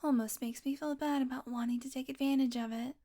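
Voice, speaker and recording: Woman acting as female vampire, higher-pitched, sardonic, predatory, teasing, murmured asides, intimate audio roleplay. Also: steady tone, a little fast, dark tone. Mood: teasing, tempted. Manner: snarky, sweary, teasing, often dropping to a murmur.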